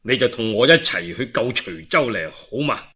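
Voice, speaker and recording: A male storyteller puts on an earnest nobleman in a Cantonese historical tale. He is grave, formal and insistent, speaking in a dropped, slightly husky voice as he appeals to duty. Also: mid-range voice, very animated, normal speed, bright, forceful tone, voice pushed down, exaggerated voice. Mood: urgent, grave.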